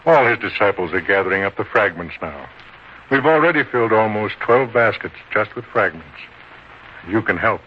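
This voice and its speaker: An actor playing a kindly, authoritative older disciple in an old-time radio drama, speaking solemnly and deliberately. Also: male voice, lowish voice, animated, natural voice. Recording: dull, noisy old-time radio recording.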